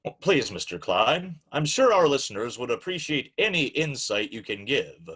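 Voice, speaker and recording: A man's voice: medium pitch, very animated, normal speed, fairly bright tone, husky. A man playing a formal, courteous, easily flustered host of an old-time radio show, with theatrical delivery. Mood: flustered, exasperated.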